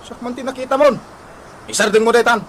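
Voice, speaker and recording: A man's voice: very high-pitched, fairly even intonation, fast talker, a little hiss or hum. A man playing a proud, defensive, argumentative jobless husband in an Ilocano comedy radio drama. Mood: exasperated. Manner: argumentative, complaining.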